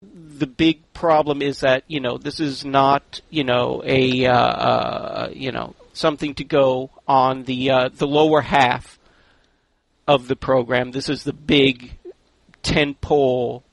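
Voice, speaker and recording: Slow, creaky-voiced man hosting. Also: medium pitch, little pitch movement, dry recording. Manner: halting, wry, drawn-out emphasis. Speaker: podcast host. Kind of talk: casual roundtable film discussion.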